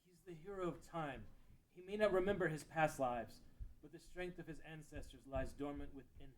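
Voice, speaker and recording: A man's voice: a little high, brisk, close to an everyday voice, rough recording. A man playing a solemn, blunt ancient sage who guards a sacred realm, in a fantasy table read. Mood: urgent, grave. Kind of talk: table read of a fan-written audio drama.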